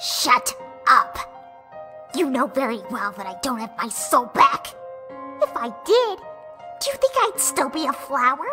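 Woman acting a volatile, high-voiced angry flower. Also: lively intonation, a little slow, pushed and bright, faint music behind, exaggerated voice. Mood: furious. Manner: snapping, emphatic. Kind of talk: animated comic dub acting.

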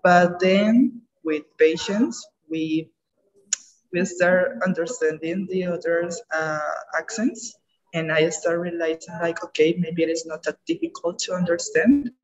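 A woman's voice: fairly low pitch, expressive, unhurried, highs cut off. Earnest young woman on earphone mic. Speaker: guest speaker. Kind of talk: online webinar answer. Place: indoor room, video call. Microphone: earphone mic on video call.

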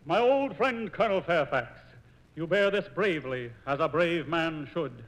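An actor playing a courteous, dignified lieutenant in a 1940s radio operetta. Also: male voice, fairly high pitch, animated, normal speed, fairly bright tone. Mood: courteous, earnest. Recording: slightly noisy 1940s radio recording.

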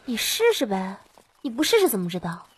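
A woman's voice: medium pitch, very animated, brisk, husky, rough recording. A woman voicing a worried young companion, pleading and scolding, in an audiobook. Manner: pleading, nagging.

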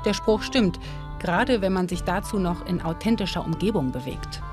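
A woman's voice: fairly low pitch, animated, moderate pace. Woman narrating a documentary over music. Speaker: documentary presenter.

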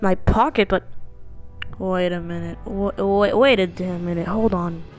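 A woman playing an excitable stick figure adventurer in an amateur cartoon dub, dramatic and exclamatory. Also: fairly low pitch, measured pace, faint music behind, lightly acted. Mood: puzzled, muttering.

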